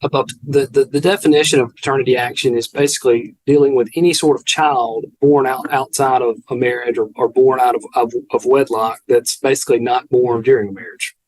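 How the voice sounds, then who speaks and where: A man with a Southern American accent, an attorney guest explaining legal steps haltingly, repeating words. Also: mid-range voice, fairly even intonation, a little mellow, rough recording. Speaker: family law attorney, guest. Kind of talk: explaining legal procedure in conversation.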